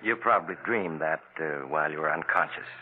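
An American actor playing a calm, authoritative hospital doctor in 1940s radio style, measured and reassuring. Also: male voice, very deep voice, a little hiss or hum. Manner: measured, reassuring bedside delivery. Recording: muffled vintage 1940s radio broadcast.

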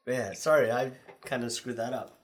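A man's voice: mid-range voice, fairly even intonation, moderate pace, crowd noise. A man co-hosting a casual podcast, playful and giggly. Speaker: podcast co-host.

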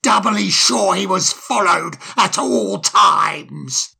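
A man voicing a menacing, sarcastic male fox who bosses others around in a storybook reading, with a slow, cold manner. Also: high-pitched, very animated, gravelly, bright, forceful tone, slightly rough, heavily acted. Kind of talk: storybook audiobook character voice.

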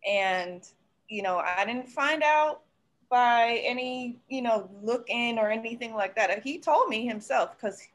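A woman's voice: a little high, expressive, a little slow. A woman speaking as a panelist, candidly reflecting on personal experience in an earnest, thoughtful way.

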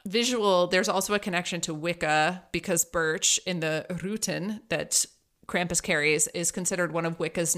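A woman's voice: lowish voice, a bit bright. A woman co-hosting a podcast, casually presenting researched facts with a playful, joking manner. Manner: playful, jokey, laughs often. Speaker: podcast co-host.

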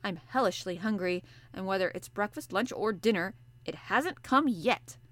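A woman voicing a blustering, hungry male harpooner who talks loud and gruff in an audiobook. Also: medium pitch, expressive, normal speed, dry recording, close to an everyday voice. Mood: impatient. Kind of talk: audiobook character voice.

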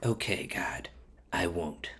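A man playing a reluctant, greedy, easily flustered curse-maker in a told story, with an excitable, hesitant manner. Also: mid-range voice, slow. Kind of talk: storybook character voice.

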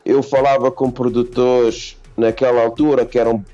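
A man's voice: medium pitch, moderate pace, lo-fi sound. A man from Portugal, a beat producer rambling casually in a livestream interview and saying yeah a lot. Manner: relaxed, rambling, says yeah often.

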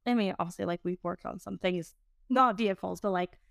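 Woman, late twenties, clean smooth voice, dry close sound, casual rambling podcast chat. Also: fairly low pitch, fairly quick, no room sound. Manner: informal, rambling, peppered with "like". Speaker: podcast host and business owner.

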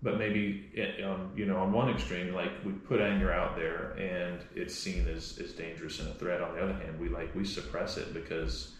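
A man co-hosting a podcast, speaking in a halting, reflective way with frequent restarts. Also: deep voice, flat delivery. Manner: halting, restarts often, many fillers. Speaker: podcast co-host. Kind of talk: reflective conversational chat. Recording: very echoey room with faint noise.

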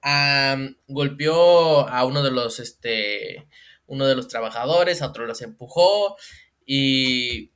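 A man's voice: medium pitch, takes it slowly, smooth. A man with a Mexican accent co-hosting a casual comedic chat about viral news, slangy and laughing often. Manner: animated, slangy, laughs often, mimics voices. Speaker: co-host.

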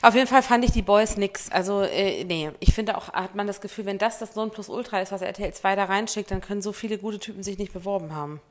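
A woman's voice: mid-range voice, fast talker, hardly any pauses. A woman co-hosting a podcast, chatting casually and wryly about a reality show. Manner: gossipy, wry, chatty. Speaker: podcast co-host.

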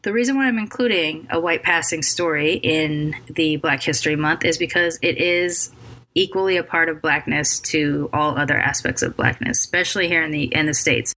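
A woman hosting her podcast late at night, tired and with a scratchy voice left over from a cold, talking in a halting way with many ums and restarts. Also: deep voice, bright, forceful tone. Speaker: podcast host. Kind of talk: casual solo podcast intro.

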